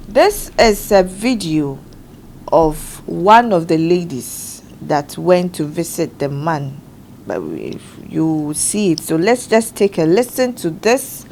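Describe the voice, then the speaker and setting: A woman's voice: lowish voice, animated, darkish tone, a little hiss or hum. A woman who hosts a studio panel talk show and walks the panel through events step by step. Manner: measured, explaining step by step.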